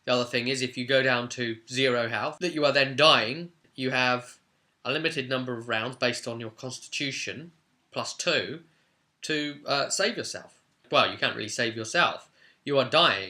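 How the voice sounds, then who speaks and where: A man's voice: medium pitch, pushed and bright. A man giving an informal tutorial as a game master, conversational and stressing key words.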